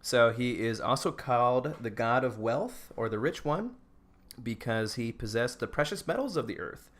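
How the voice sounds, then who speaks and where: A man's voice: mid-range voice, lively intonation, normal speed, a bit bright. A man co-hosting a podcast, giving a casual, joking rundown in an informal, giggly way. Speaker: podcast co-host presenting a rundown. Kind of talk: casual comedic presentation with banter.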